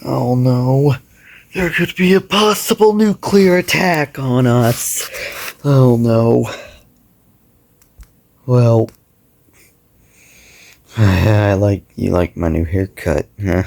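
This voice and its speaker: A man playing a worried but casual resident in an amateur horror drama, talking in a halting, everyday way. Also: mid-range voice, creaky, darkish tone.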